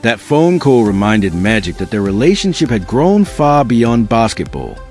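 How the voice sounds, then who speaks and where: A man's voice: medium pitch, big pitch swings, normal speed, darkish tone, faint music behind. A man reading scripted documentary narration in an earnest, dramatic storytelling manner.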